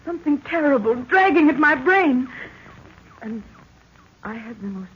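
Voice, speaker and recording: A woman's voice: high voice, animated, a little slow. An actress playing an anxious, haunted young woman with melodramatic hesitations in an old-time radio drama. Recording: dull, noisy vintage radio recording.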